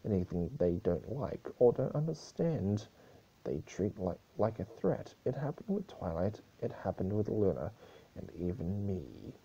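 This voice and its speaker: Man playing mischievous chaos creature, storybook reading, teasing, swooping pitch, gravelly, muffled recording. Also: a little slow, full character voice. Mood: blunt, wry. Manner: teasing, blunt, animated.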